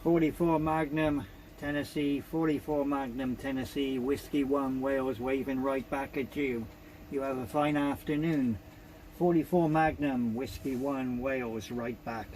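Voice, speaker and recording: A man's voice: medium pitch, fairly even intonation, a little slow. A man from the United Kingdom making polite CB radio calls, repeating his call signs and phrases. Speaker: CB radio operator. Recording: noisy CB radio recording. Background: radio noise.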